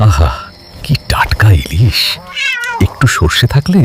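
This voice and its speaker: A man's voice: on the low side, wildly swooping pitch, a little slow, music in the background, pitched below the performer's own voice. A man voicing a greedy, cheeky but harmless fish-loving ghost in a comic horror cartoon, savouring and exclaiming. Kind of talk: animated comic horror story.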